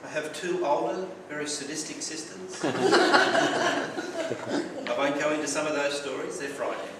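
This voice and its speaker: An Australian man with a fairly high voice tells stories from his childhood with dry humour, sometimes tripping over his words. He stands well back from the microphone, so his voice rings around a very echoey room. Clear background noise runs under everything he says. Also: normal speed, far from the mic. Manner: anecdotal, wry humour, occasional stumbling repeats. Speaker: club speaker. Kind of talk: prepared personal speech.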